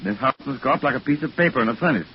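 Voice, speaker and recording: Man as a cool, dry gentleman, muffled old radio. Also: mid-range voice, gravelly, close to an everyday voice. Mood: cool, persuasive. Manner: dry, measured, wryly understated. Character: cool, quick-witted gentleman mystery writer held captive by gangsters. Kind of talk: 1940s radio thriller acting. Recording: muffled, noisy 1940s radio recording.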